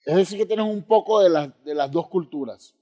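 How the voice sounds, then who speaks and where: A man's voice: sits high, moderate pace, mellow. A man co-hosting a program and putting questions to a guest in an interview. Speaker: program co-host.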